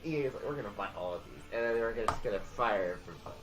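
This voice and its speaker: A man chatting casually about video games, animated and prone to exaggerate for emphasis. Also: fairly low pitch, rapid-fire, over music, rough recording.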